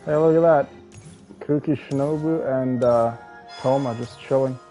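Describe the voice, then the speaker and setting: A man's voice: mid-range voice, a little slow, mellow. A man streaming a game, chatting casually and rambling as he plays. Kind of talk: casual gameplay commentary. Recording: voice over game music.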